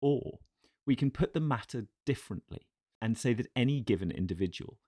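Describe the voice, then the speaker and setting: Measured man narrating in a dry close sound. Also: medium pitch, lively intonation, warm tone, no echo. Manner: measured, formal, deliberate. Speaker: audiobook narrator. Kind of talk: scripted narration of philosophical text.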